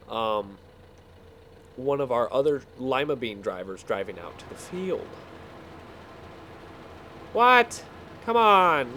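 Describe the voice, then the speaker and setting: A man casually telling a rambling story while playing a game, halting and repeating words as he goes. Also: mid-range voice, sharp tone, a little hiss or hum. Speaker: video game player telling stories.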